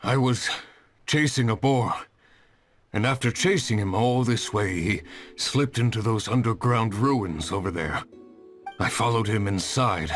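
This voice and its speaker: An actor playing a weary, earnest hunter who speaks formally, with game music behind. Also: male voice, on the low side, fairly bright tone.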